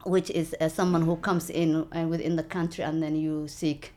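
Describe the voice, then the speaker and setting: A woman, telling her story in an interview with an earnest, halting manner and many fillers. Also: low-pitched, flat delivery, mellow. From Somalia. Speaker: local election candidate.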